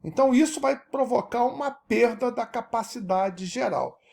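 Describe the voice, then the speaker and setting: A man, giving a lecture as an animated teacher who keeps checking that his audience follows. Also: extremely high pitch, moderate pace, vocal fry. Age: 70s. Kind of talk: recorded university-style lecture.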